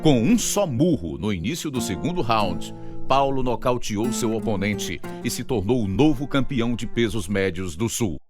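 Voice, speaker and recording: A male radio drama announcer reads a dramatic script in a fairly low voice. His intonation is very lively, and loud guitar music plays behind him.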